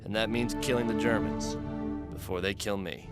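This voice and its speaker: Actor as hard-bitten American sergeant over loud music. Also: male voice, fairly low pitch, fairly even intonation, normal speed, lightly acted. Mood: grim resolve. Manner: terse, no-nonsense. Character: battle-hardened cynical American infantry sergeant, grimly pragmatic. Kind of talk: war game cutscene acting and narration.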